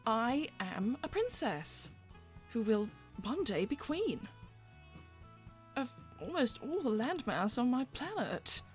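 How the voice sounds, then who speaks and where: A woman's voice: fairly high pitch, big pitch swings, slow pace, faint music behind. A woman playing a prim, proper and blunt young princess in an improvised in-character livestream. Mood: firm, polite. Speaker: co-host reading viewer messages.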